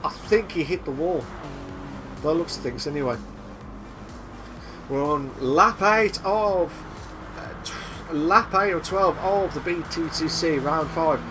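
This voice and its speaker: A young man doing live sim racing commentary, excitable and repetitive, with a voice he says he is losing. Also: fairly high pitch, a little slow, pauses often, background noise. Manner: excitable, repeats himself, shouts at big moves. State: losing his voice.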